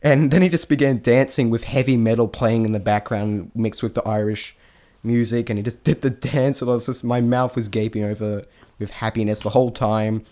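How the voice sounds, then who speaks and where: A man talks through his thoughts on a show in a loose, unscripted way. His voice sits at an average male pitch. He hesitates and doubles back on sentences, delivering frank opinions in informal language. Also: fairly even intonation, mellow. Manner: halting, rambling, often trailing off and restarting. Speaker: vlogger reviewing a stage show. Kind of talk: casual rambling spoken review.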